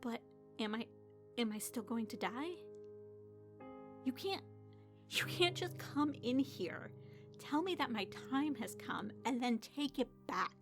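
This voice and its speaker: High-voiced, shaken young patient. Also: female voice, expressive, takes it slowly, pauses often, music in the background. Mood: defiant, upset. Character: dying young woman hospital patient, defiant, shaken narrator. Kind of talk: audio drama acting with first-person narration.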